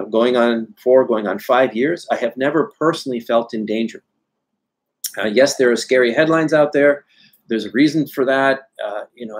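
Husky-voiced man chatting on a webcam. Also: mid-range voice. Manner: candid, chatty, frequent "uh" and restarts. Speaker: newsletter host answering viewer questions. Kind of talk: live Q&A, casual talk to camera. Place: home study with bookshelves, indoors. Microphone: webcam video call framing.

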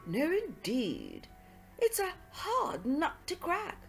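An earnest English gentleman, read by a woman, forever baffled by the mystery at hand. His delivery jumps up and down in pitch, exclamatory and puzzled, as the loyal sidekick in a storybook detective tale. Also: huge pitch swings, slow, gravelly, faint music behind, full character voice.

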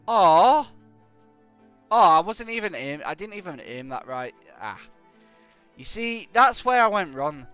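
Croaky British man streaming games. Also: a little high, a bit bright. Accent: British English. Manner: rambling and jokey, hums nonsense syllables. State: hay fever. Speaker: game streamer. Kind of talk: live gameplay commentary chatting to viewers.

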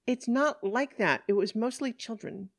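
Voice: mid-range voice, big pitch swings, slow pace, mellow, pitched above the performer's own voice, full character voice. A narrator voicing a frantic, cornered woman, pleading and protesting in exasperation in an audiobook story. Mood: defensive.

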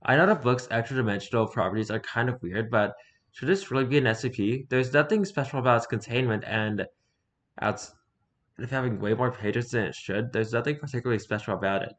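Male streamer, mid-range voice, reads creepy fiction aloud with halting delivery and self-corrections. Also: mid-range voice. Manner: stumbles over words, self-correcting. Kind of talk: scripted narration read aloud, halting.

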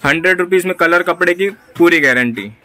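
A man's voice: sits high, brisk, pushed and bright. A man with an Indian accent hosting a shopping vlog, talking to viewers and repeating back what he is told. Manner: repeats the seller's answers back. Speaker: vlog host. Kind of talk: market shopping vlog, interviewing a seller and addressing viewers. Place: small clothing shop, indoors.

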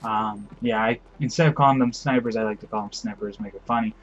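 A man's voice: fairly low pitch, fairly even intonation, quick pace, fairly bright tone, muffled recording, slight background noise. A young man who is losing his voice lays down rules for his game crew in rambling casual talk, full of fillers, pleading and the odd swear word. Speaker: crew leader giving rules.

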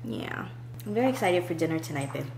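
A woman's voice: sits low, expressive, quick pace, vocal fry, a little mellow, a little hiss or hum, low-quality recording. A young adult woman with an American accent chatting casually to camera as a vlogger, rambling and informal. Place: at a table in an open-plan house.

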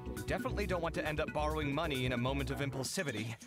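A man's voice: fairly high pitch, racing, natural voice. An actor voicing a proud, dramatic young male architect in a game trailer, with a wry, theatrical delivery over game music. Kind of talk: game voice acting.

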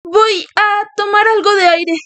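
A woman's voice: extremely high pitch, steady tone, measured pace, bright, forceful tone, exaggerated voice. A woman voicing a nerdy, uneasy young female sleuth in a cartoon fan dub.

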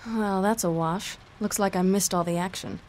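An actress playing a sardonic, tough, vengeful half-vampire heroine with a dry, wisecracking delivery. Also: female voice, mid-range voice, steady tone, moderate pace, darkish tone, slight background noise, lightly acted. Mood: wry.

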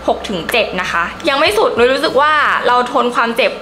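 A woman's voice: fairly high pitch, lively intonation, fast, a bit bright, faint music behind. A young woman gives a casual, enthusiastic review to camera in a chatty, emphatic way. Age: young adult. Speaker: beauty vlogger.

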